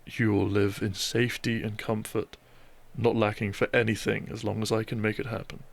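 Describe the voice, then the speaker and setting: Man playing a tender groom, low creaky voice. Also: level tone, normal speed. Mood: loving, earnest. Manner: tender, earnest, softly affectionate. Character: devoted, tender male doctor and newlywed groom. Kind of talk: romantic audio roleplay addressed to listener.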